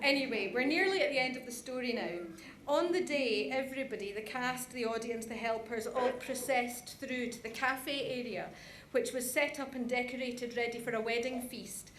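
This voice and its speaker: Female presenter, fairly high voice, informal and chatty, reverberant conference hall. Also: normal speed, hardly any pauses, a bit bright. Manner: chatty, addresses the audience directly. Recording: echoey hall, some background noise.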